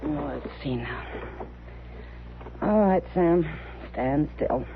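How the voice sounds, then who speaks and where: An actress playing a protective, plain-spoken frontier saloon woman in a 1950s radio western, brisk and kindly but firm. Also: deep voice, expressive, full character voice. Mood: kindly, practical. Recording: noisy, tinny old radio broadcast.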